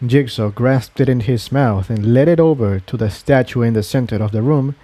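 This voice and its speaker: A man's voice: medium pitch, normal speed, dark tone, no room sound. A man reading an adventure story aloud as narrator, in scripted audiobook style. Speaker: narrator reading fan fiction.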